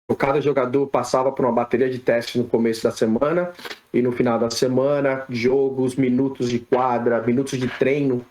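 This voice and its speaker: A Brazilian man speaks as a guest in a casual podcast interview, with a voice of middling pitch. He talks in a loose, conversational flow, often tacking a quick tag question onto his sentences and restarting his words mid-thought. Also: fairly even intonation, darkish tone, a little hiss or hum. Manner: conversational, frequent "né?" tags and restarts. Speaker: physiotherapist guest.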